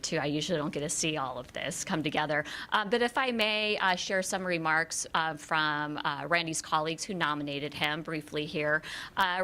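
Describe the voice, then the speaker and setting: A middle-aged woman, a school finance association director, giving a measured award presentation at a board meeting with many "uh" pauses. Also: on the low side, pushed and bright. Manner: measured, with frequent "uh" fillers. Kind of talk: formal award presentation speech. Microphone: without a working microphone, projecting.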